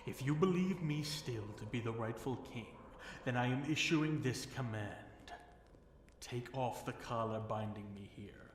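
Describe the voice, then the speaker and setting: A man playing a possessive, seductive fallen dragon king in an intimate ASMR fantasy roleplay, with teasing, commanding delivery and breathy whispered words. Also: mid-range voice, natural voice. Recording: roomy echo, faint background noise.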